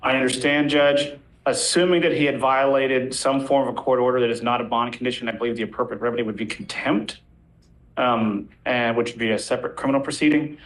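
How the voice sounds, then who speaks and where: A man's voice: medium pitch, fairly even intonation, fairly quick, a bit bright, low-quality recording. A man speaking as a defense lawyer in a courtroom, making an insistent but formal, courteous legal argument.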